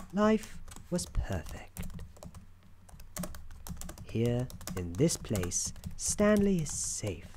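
A soft-spoken ASMR narrator of unclear sex telling a story in a gentle, deliberate, soothing way. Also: medium pitch. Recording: keyboard clicks and noise behind. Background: computer keyboard typing.

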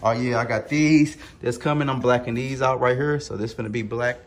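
A man's voice: medium pitch, steady tone, fairly quick, slight background noise. A man in his thirties vlogging casually to a handheld camera on his driveway and in his garage, rambling with plenty of fillers. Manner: loose, rambling, lots of fillers. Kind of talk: casual vlog talk to camera. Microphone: handheld camera with foam mic.

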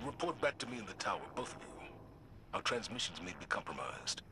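An actor playing a stern, weary military leader in a video game cutscene, terse and authoritative yet protective. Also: male voice, fairly low pitch, big pitch swings, a little fast, noisy background.

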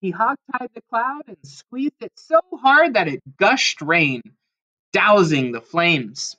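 Bright, animated woman reading a storybook. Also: medium pitch, slow pace, low-quality recording. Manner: animated, dramatic emphasis on key words. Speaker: storybook reader. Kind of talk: expressive children's storybook read-aloud.

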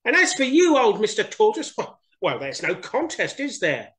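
A woman's voice: very animated, normal speed, fairly bright tone. A woman voicing a proud, boastful young female hare in a storybook reading. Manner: high squealing exclamations.

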